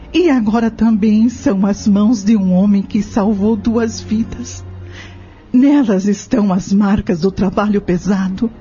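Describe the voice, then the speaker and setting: A woman's voice: medium pitch, dark tone, breathy edge. An actress playing a grateful, kindly woman in a radio drama, tender and solemn. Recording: dull lo-fi radio recording with music.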